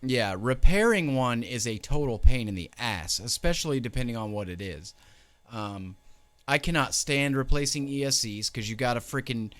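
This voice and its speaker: A man's voice: mid-range voice, animated, moderate pace, a bit bright. A man in his late thirties hosting a casual interview, laid-back, with lots of ums and casual swearing. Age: 30s. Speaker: host.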